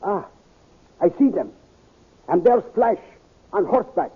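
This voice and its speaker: An actor playing a wise older scientist prince in a 1930s radio serial, measured and authoritative. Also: male voice, high voice, very animated, slightly rough, full character voice. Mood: commanding. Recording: tinny, lo-fi 1930s radio transcription.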